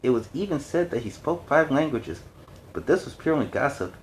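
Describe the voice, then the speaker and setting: A man with a medium-pitched voice hosts a story reading. He moves between narration and casual, explanatory asides, with faint noise in the recording. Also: big pitch swings, fairly quick, poor audio. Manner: conversational, explanatory. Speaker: storyteller, host.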